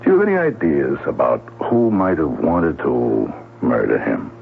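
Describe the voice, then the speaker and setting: An actor playing a probing, matter-of-fact police lieutenant in a 1970s radio drama, with a gravelly voice. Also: male voice, a bass voice, wildly swooping pitch, normal speed, heavily acted. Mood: insinuating. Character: Lieutenant Bennett, probing, unhurried male police detective. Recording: tinny, noisy old radio broadcast.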